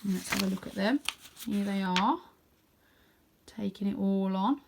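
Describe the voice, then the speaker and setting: A woman's voice: mid-range voice, a little slow, gravelly, noisy background. A woman reading a children's storybook aloud expressively, with chatty asides to her listeners. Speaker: reader of children's stories.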